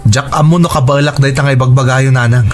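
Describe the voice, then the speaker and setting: A man's voice: medium pitch, steady tone, a little fast, faint music behind, lightly acted. A man playing a resentful, hurt young adult son in a radio drama, indignant and questioning, with an Ilocano accent. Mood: bitter. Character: resentful, wounded grown son, unwilling to forgive.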